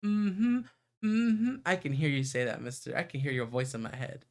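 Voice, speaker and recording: A man's voice: medium pitch, sing-song, normal speed, darkish tone. A man livestreaming a visual novel, reading the narration aloud in a playful way and sometimes stumbling or breaking into chatty asides.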